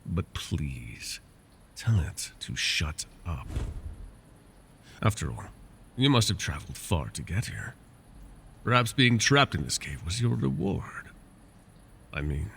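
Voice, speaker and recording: A man voicing a possessive, scheming male dragon in an ASMR roleplay, teasing and drifting into soft whispers. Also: very animated, slow pace, long pauses, exaggerated voice. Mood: coaxing. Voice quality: gravelly, low.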